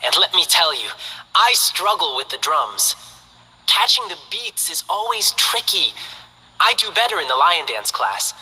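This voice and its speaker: An upbeat young lion dancer. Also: male voice, on the high side, big pitch swings, a little slow, breathy edge, long reverb tail, heavily acted, low-quality recording. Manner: bright, friendly, enthusiastic. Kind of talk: game character voice lines.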